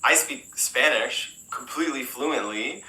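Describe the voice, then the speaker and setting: An American man, an English teacher, answering interview questions in a relaxed, rambling way, full of fillers and restarts. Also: medium pitch, unhurried, reverberant, low-quality recording. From United States.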